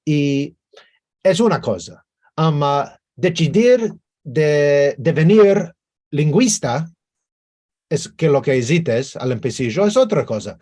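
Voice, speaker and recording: Man, host, mellow, slow, halting questions, video call. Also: fairly high pitch, sing-song. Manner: halting, searching for words, repeats himself. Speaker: interview host. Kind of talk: conversational interview questions.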